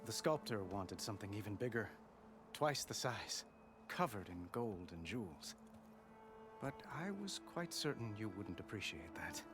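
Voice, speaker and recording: A man's voice: lowish voice, sing-song, normal speed, music in the background. An actor playing a gracious, earnest young king in a video game, speaking formally and courteously. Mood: warm, wistful. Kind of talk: dramatic video game dialogue.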